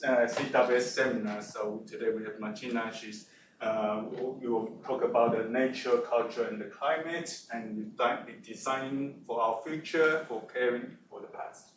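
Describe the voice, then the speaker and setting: A man opens a seminar with a short, halting introduction of the speaker. His voice sits mid-range and comes across faint and roomy from far off the mic, with clear noise underneath. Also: medium pitch. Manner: halting, hesitant delivery. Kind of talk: brief introduction. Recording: echoey room, mic at a distance, noisy.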